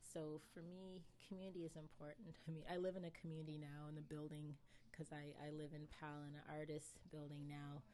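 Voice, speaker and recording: A woman poet answering interview questions in a thoughtful, easygoing way, laughing often and pausing with fillers. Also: low voice, flat delivery, dark tone. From England, raised in Nova Scotia.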